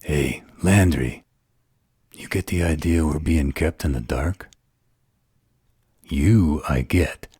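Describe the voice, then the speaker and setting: A man playing a sardonic, flirtatious vampire interrogator in an audio drama, with a slow, sarcastic delivery. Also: darkish tone, no echo, clean studio sound, full character voice. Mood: sardonic, probing. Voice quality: very deep, gravelly, husky. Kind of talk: dramatic audio roleplay acting.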